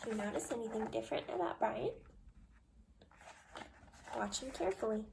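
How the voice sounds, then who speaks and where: High-voiced woman reading a picture book aloud. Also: expressive, gravelly, noisy background. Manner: slow, deliberate, asks guiding questions. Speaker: children's read-aloud storyteller. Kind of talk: expressive picture-book read-aloud with questions to young listeners.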